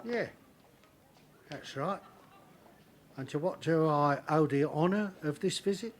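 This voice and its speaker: An actor playing a sly, mock-deferential second-hand dealer with a London accent in a British radio drama. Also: male voice, a little high, takes it slowly, slight background noise. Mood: oily, amused. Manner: mock-deferential, evasive, cheeky. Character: sly, wheedling cockney second-hand furniture dealer, outwardly servile.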